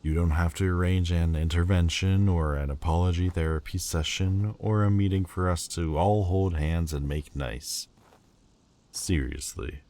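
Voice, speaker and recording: A prickly, proud Lord of Demons, played by a man in a fantasy audio roleplay. His voice sits extremely low and he takes his time over every word. He sounds haughty and sardonic, with a grudging tenderness underneath. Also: unhurried, mellow. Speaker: voice actor.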